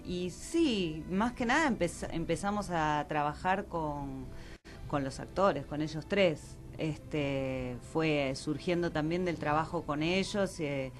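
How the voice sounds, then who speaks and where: A woman talks in a casual radio interview in a distinctly low voice. She is tentative and halting, feeling her way toward each word. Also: expressive, faint music behind. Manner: tentative, halting, pauses to find words. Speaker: interview guest, theatre director.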